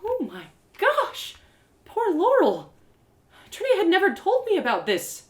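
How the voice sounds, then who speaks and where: A woman voicing an excitable, warm-hearted young heroine in an audio drama, gushing and impulsive. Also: very high-pitched, unhurried, pitched above the performer's own voice, exaggerated voice. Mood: excited. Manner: gushing, impulsive, self-talking. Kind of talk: animated first-person audio drama. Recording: roomy, echoey live reading.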